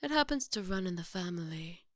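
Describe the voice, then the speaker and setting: A woman playing a composed, formal maid to a vampire, polite and gently teasing, in an ASMR roleplay. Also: lowish voice, expressive, no echo, clean studio sound, lightly acted. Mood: calm, reassuring. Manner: polite, measured, wry.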